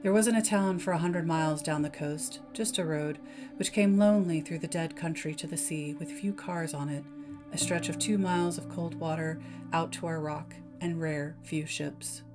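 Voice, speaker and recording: A woman reading a dark bedtime story aloud as a narrator. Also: sits low, steady tone, moderate pace. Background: background music.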